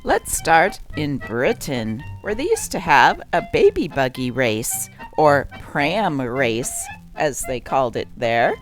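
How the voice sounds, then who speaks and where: A woman's voice: extremely low pitch, sing-song, takes it slowly, hardly any pauses, over music, rough recording. A woman narrating a compilation as host, in an informal scripted style.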